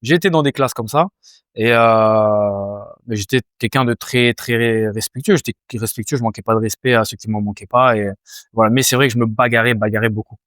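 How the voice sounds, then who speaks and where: A man's voice: on the low side, steady tone, fairly quick, a bit bright, no echo, clean studio sound. A man in his twenties from France, a fighter answering interview questions casually, hesitant with many fillers and restarts. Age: 20s. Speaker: Muay Thai fighter, guest.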